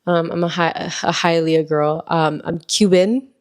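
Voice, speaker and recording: A woman's voice: fairly low pitch, fairly even intonation, a little slow. A young woman in her early twenties, from Miami, chatting casually as a solo podcast host, rambling with lots of ums and likes. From Miami, Florida.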